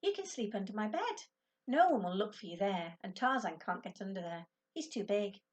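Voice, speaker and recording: A woman voicing an excitable, anxious and curious young boy in a storybook, with eager, urgent delivery. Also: mid-range voice, moderate pace, distant mic, lightly acted. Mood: excited. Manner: eager, urgent exclamations and questions.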